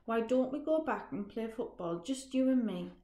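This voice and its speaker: A woman's voice: a little high, animated, moderate pace, dark tone, natural voice. Woman reading a pleading young boy. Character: young boy who dreams of football, pleading. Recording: roomy echo.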